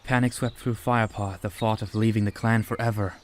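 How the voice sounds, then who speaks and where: A man's voice: fairly low pitch, steady tone, a little fast, slight background noise. A man reading scripted story narration as an audiobook narrator.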